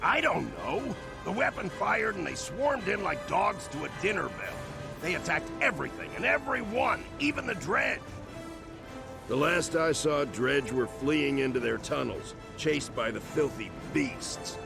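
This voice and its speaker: An actor, a man, playing a battered, exasperated prisoner in a video game, blunt and excitable. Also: fairly high pitch, a little slow, gravelly, music in the background, full character voice. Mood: exasperated, agitated. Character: battered, exasperated male prisoner, freshly rescued soldier.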